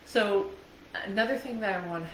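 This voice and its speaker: A woman's voice: medium pitch, normal speed, a bit bright. A middle-aged Canadian woman chatting casually and digressively on a livestream, with a self-amused, rambling manner. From Ontario, Canada. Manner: chatty, laughs readily at herself, digressive. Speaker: host, trauma coach livestreamer. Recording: echoey room with faint background noise.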